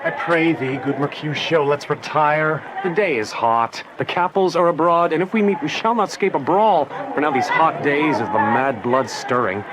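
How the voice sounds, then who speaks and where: An actor playing a cautious, worried young gentleman urging restraint in a Shakespearean drama. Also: fairly high pitch, normal speed, lightly acted. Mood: wary, urging. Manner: urging, wary. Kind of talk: Shakespearean stage-style drama. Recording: noisy outdoor street ambience.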